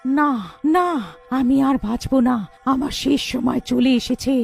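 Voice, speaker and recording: A woman voicing a kindly dying sorceress in a cartoon, speaking solemnly and haltingly. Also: high-pitched, level tone, vocal fry, husky, pitched below the performer's own voice, exaggerated voice. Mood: weak, solemn.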